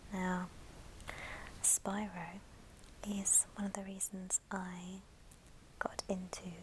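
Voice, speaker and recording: A woman hosting an ASMR show and tell in a soft, breathy whisper, speaking gently in short phrases. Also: fairly low pitch, noisy background. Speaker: ASMR video host.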